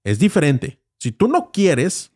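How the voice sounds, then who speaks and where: A man's voice: a little high, huge pitch swings, takes it slowly, a little mellow, no echo, studio quality. A man in his thirties with a Mexican Spanish accent, co-hosting a podcast and arguing his point insistently with lots of slang. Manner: insistent, argumentative, heavy slang. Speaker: podcast co-host. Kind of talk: heated casual debate. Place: small studio with foam panels. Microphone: studio mic on boom arm, headphones.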